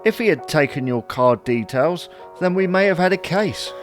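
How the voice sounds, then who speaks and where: A man's voice: a little high, expressive, normal speed, music in the background, close to an everyday voice. A man playing a teasing, sceptical husband in a comedy audio drama, wry and reasoning. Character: teasing, sceptical husband, cautious about money.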